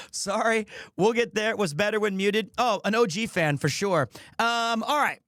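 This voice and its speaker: A man hosting a livestream, talking casually to his audience in a rambling, jokey, expressive way. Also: high voice, slow pace, dry recording, studio quality. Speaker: livestreamer and host. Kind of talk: casual chat to a stream audience.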